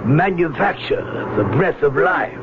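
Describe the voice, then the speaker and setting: Slow, gravelly, imperious ruler of Atlantis. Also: male voice, a little high, lively intonation. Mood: proud, explaining. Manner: stately, formal, declamatory, deliberate phrasing. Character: grave, imperious male autocrat of sunken Atlantis. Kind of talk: old-time radio drama acting. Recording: muffled, noisy old radio broadcast recording.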